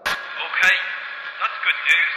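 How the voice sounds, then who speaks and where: A man's voice: on the high side, little pitch movement, slow pace, sharp tone, reverberant, in a large hall, exaggerated voice, rough recording. A man playing a cocky, slangy base radio operator in an audio drama, heard over a radio transmission. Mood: casual. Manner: casual and slangy.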